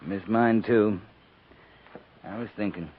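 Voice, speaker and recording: An actor playing a laconic, awkward cavalry captain of the Old West, with an American accent and a halting, understated delivery. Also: male voice, fairly low pitch. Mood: awkward, hesitant. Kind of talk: 1950s radio drama acting. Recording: tinny, hissy 1950s radio recording.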